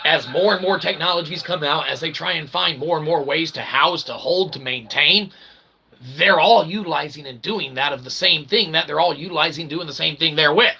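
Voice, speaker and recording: Gravelly, higher-pitched man rambling casually. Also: fairly quick, noisy background. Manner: folksy, repeatedly checking in with "okay" and "all right"; irreverent, slangy, mock-grand wording. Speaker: independent researcher and vlogger.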